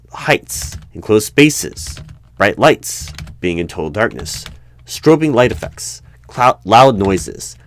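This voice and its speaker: A man in an ASMR roleplay as the stern hiring manager of a secret bureau, with deadpan questioning that breaks into sudden loud bursts and whispers. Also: deep voice, a little hiss or hum. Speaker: interviewer in a roleplay.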